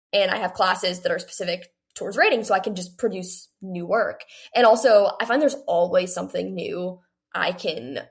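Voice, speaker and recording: A woman's voice: on the low side, vocal fry. A woman of eighteen answering interview questions as a guest author in a chatty, conversational way, often saying "like". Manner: chatty, heavy use of "like". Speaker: guest, young adult author.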